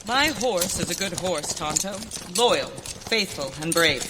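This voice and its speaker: A woman's voice: fairly low pitch, animated, a little slow. A woman playing a brave, determined masked western hero in radio theater, speaking in an earnest, commanding way. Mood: earnest, resolute. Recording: hall reverb, background noise, clicks.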